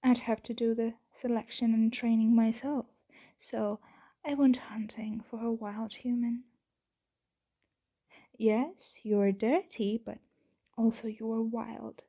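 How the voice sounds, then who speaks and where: A woman voicing a composed, patient vampire owner in an ASMR roleplay, speaking softly and half-whispering with measured, formal, deliberate phrasing. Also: fairly high pitch, flat delivery. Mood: reserved, gentle. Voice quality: soft, breathy, half-whispered with creaky edges. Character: composed, patient female vampire owner, commanding yet gentle. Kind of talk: ASMR roleplay, scripted monologue to the listener.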